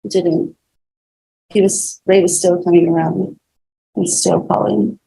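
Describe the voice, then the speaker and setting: A woman's voice: fairly low pitch, flat delivery, creaky, warm tone. Hesitant woman testifying in an echoey courtroom. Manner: hesitant, halting answers with fillers. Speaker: witness. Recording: echoey courtroom with faint room noise.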